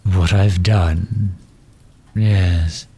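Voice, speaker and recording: A man's voice: low-pitched, fairly even intonation, drawn-out words, warm tone, a little hiss or hum, exaggerated voice. A man playing a genteel, erudite villain in an ASMR roleplay, speaking formally and precisely with polite condescension, a courteous and misunderstood sort. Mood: courteous, probing.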